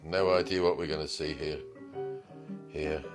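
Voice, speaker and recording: Male repair tech, low register, informal and wry, unscripted demo, music in the background. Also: steady tone, a little slow. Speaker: radio repair technician demonstrating a converted car radio. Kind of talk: casual unscripted product demonstration.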